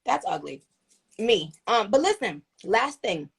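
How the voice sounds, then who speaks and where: Husky, gravelly woman chatting earnestly. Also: mid-range voice, very animated, unhurried. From Trinidad. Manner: earnest, grateful, rambling with restarts. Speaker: livestream host. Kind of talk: casual chat on a livestream.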